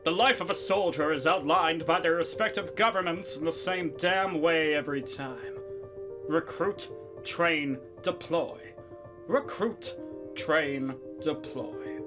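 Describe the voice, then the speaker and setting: Male actor as grim war-weary soldier, slow halting delivery, highish male voice, brooding drama monologue, guitar music behind.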